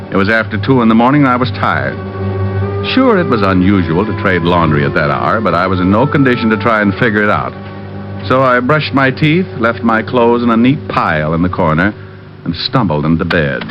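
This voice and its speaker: A muffled vintage radio recording with prominent music carries a wry American private eye. His voice is low and he sounds weary and sardonic, tossing off wisecracks as he narrates his case. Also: very animated, normal speed. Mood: wry narration. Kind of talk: 1940s-50s radio detective acting and narration.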